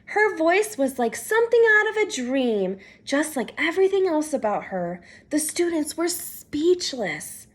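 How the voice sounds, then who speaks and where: A woman reading a children's picture book aloud in an expressive, dramatic, teacher-like way. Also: sits high.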